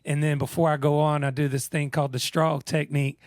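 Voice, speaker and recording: A man's voice: fairly high pitch, flat delivery, a little fast, dry recording. Scratchy-voiced man in a dry studio. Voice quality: naturally scratchy voice. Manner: laid-back, laughs easily. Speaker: guest musician. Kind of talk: radio interview chat.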